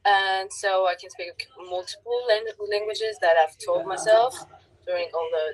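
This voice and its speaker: A woman's voice: medium pitch, steady tone, measured pace, poor audio. A nineteen-year-old woman from France, speaking casually as a guest on a chat show.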